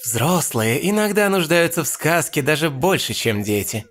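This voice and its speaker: A man voicing a calm, pompous cartoon clone who speaks in solemn wise sayings. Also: fairly high pitch, normal speed. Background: music.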